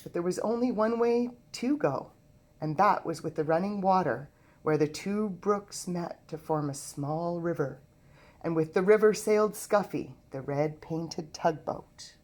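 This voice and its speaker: A woman narrating a children's storybook aloud in an expressive storytelling manner. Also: lowish voice, measured pace. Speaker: storybook reader.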